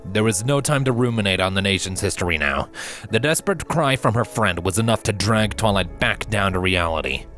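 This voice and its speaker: A man with a low voice narrates a fantasy tale. His delivery is measured, solemn and dramatic, with faint music underneath. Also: lively intonation, fairly bright tone. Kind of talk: dramatic scripted story narration.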